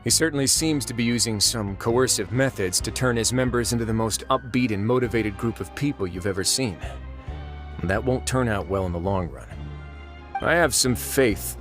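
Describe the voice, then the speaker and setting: Shrewd composed duke, a man voicing him, fairly low voice, deliberate, dry wit, soft game music. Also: lightly acted. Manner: measured, dry humor, deliberate. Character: calm, shrewd male duke and warden. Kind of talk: video game voice acting.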